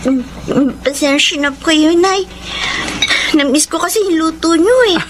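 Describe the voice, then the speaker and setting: A tiny, very high girlish voice acted by a woman as a dutiful Filipino daughter in a radio drama. She sounds respectful, earnest and plaintive, over noticeable background noise. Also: moderate pace, natural voice. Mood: shy, affectionate. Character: dutiful, caring young daughter, polite and plaintive.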